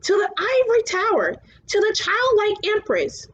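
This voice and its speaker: A woman voicing a gentle, earnest male luck dragon in a storybook, coaxing his companion. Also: very high voice, wildly swooping pitch, normal speed, pitched above the performer's own voice, full character voice. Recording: muffled, clearly noisy home recording.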